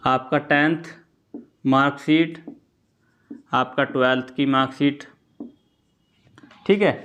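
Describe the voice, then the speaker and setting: A man's voice: mid-range voice, fairly even intonation, takes it slowly, fairly bright tone, muffled recording. Man, instructive explainer. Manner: instructive, repeats key points, checks in often. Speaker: exam coaching presenter. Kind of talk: informal explainer addressed to students.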